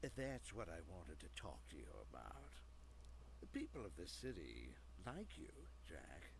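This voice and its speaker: An actor playing a smug, sleazy mayor in a video game, falsely friendly with a halting, menacing delivery. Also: male voice, low voice, wildly swooping pitch, creaky, noisy background, exaggerated voice. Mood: veiled menace. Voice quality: sleazy-sounding. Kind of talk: dramatic video game acting.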